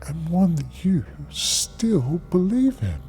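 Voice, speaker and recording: A man playing a cold, powerful corporate leader who speaks with measured authority in a sci-fi audio drama. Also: fairly high pitch, very animated, darkish tone, soft background music.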